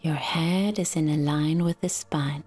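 A woman guiding a relaxation meditation in a soft, soothing, half-whispered voice with long pauses. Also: deep voice, steady tone, husky, faint music behind. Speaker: yoga nidra guide.

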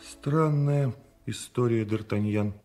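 A man playing a grave, sombre, distrustful noble musketeer in a radio drama, speaking in a measured way. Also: medium pitch, over music, natural voice. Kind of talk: radio play acting.

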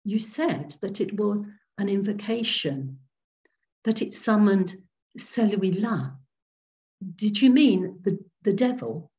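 A man's voice: high voice, animated, slow, darkish tone, muffled recording, heavily acted. A woman voicing a frightened, haunted man in a storybook reading, speaking plainly and awkwardly. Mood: awkward, uneasy. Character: shaken, haunted Englishman, frightened guest.

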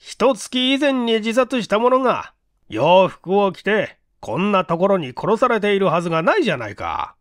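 A man voicing a gruff, skeptical middle-aged police inspector with blunt, old-fashioned speech in a mystery audiobook. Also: sits high, very animated, moderate pace, no room sound, clean studio sound, pitched above the performer's own voice. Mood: incredulous, irritated. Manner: blunt, old-fashioned rustic turns of phrase. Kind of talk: dramatized mystery audiobook reading.

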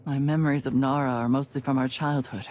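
A man's voice: mid-range voice, steady tone, a little fast, darkish tone, a little hiss or hum, close to an everyday voice. Casting: male actor as a resolute young adventurer haunted by guilt, mid-range voice, video game dialogue. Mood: regretful.

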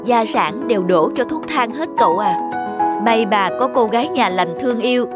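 An actor voicing a poor, kind-hearted, emotional scholar in an animated morality tale. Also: mid-range voice, moderate pace, natural voice. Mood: rueful, friendly. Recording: background music throughout.

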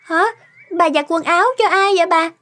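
High-voiced, curious cartoon schoolgirl. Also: fairly even intonation, fairly quick, sharp tone. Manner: questioning, concerned, plaintive. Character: caring, curious young granddaughter, a schoolgirl. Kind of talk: cartoon dialogue acting.

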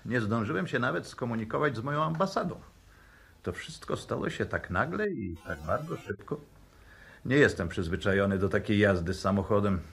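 An actor playing an uneasy, grumbling professor with a husky voice and a brooding manner in a 1960s radio drama. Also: male voice, lowish voice, lively intonation, normal speed. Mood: unsettled. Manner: hesitant, brooding.

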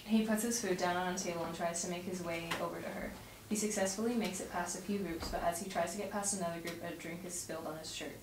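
A distant, roomy recording with clear noise carries a young woman's voice. She sits fairly low in pitch and reads script directions aloud as the narrator of a table read. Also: steady tone, fast. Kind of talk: reading stage directions aloud. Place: home dining room around a table. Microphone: far camera mic. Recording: distant mic, roomy echo, noisy.

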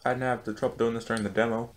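A young man with a low, monotone voice, chatting casually and self-critically in a behind-the-scenes vlog from his bedroom, swearing often. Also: racing, echoey room, noisy background. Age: young adult. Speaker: rap battle creator. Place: small bedroom. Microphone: studio condenser mic.

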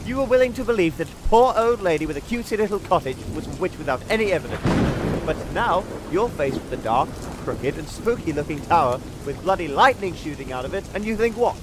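A man's voice: a little high, animated, brisk, noisy background, lightly acted. A man playing a cautious, sarcastic, argumentative elf in a comedy fantasy audio drama. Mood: exasperated. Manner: sardonic, argumentative. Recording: rain and thunder behind.

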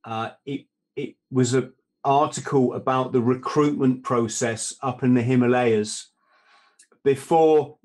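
Podcast host in his fifties on a video call, mid-pitched, tells long stories before asking. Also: steady tone, measured pace, a little mellow. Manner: long storytelling questions. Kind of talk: casual interview chat. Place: room at home.

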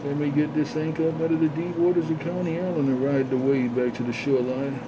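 Male CB radio operator, showy repetitive patter with flat, narrow intonation, dull lo-fi sound under heavy noise. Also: on the high side, fast talker. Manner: repetitive, showy chanting of phrases. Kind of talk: CB radio talk, chanting catchphrases.

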